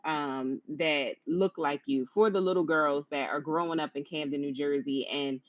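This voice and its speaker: A woman with a low-pitched voice hosting a conversational interview in an encouraging, affirming manner. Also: fairly even intonation, moderate pace, no echo. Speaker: interview host. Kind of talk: conversational live-streamed interview. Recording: dull lo-fi online stream audio.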